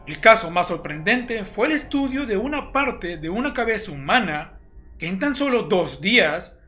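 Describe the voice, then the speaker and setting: Video essay narrator, really animated pitch, explains stuff like a story, bit of music behind. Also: moderate pace. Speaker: host and narrator of a horror analysis channel.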